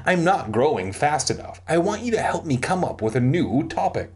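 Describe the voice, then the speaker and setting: A man's voice: fairly high pitch, big pitch swings, normal speed, pitched above the performer's own voice. A man voicing a self-centred, matter-of-fact young brother in a storybook, earnest and insistent.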